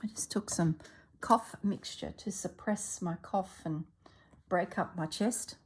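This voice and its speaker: A woman narrating her crafting work in a soft, relaxed voice, sometimes dropping to a whisper, congested and clearing her throat. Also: on the low side, slow. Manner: soft-spoken, often drops to a whisper while working. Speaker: craft video maker.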